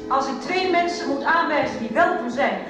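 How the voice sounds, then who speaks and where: A proud, defiant female artist gives a wry, declamatory speech in a radio soap. Her voice is high and sounds distant in a very reverberant room, with music clearly behind her. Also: lively intonation, moderate pace. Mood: bitter, defiant.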